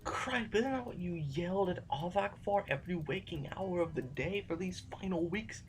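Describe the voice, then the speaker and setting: A man acts out a brooding idealist rebel who never wanted to fight. His voice is fairly high for a man, and his delivery is theatrical, wordy and bitter. It is a sci-fi audio drama with faint noise on the recording. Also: expressive, normal speed. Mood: troubled, probing. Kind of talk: science fiction audio drama acting. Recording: faintly noisy amateur recording.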